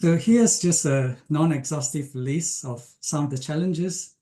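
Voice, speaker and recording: A man from Malaysia giving an online science lecture, speaking with frequent ums, pauses and restarts. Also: a little high, mellow. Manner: hesitant, many ums and restarts.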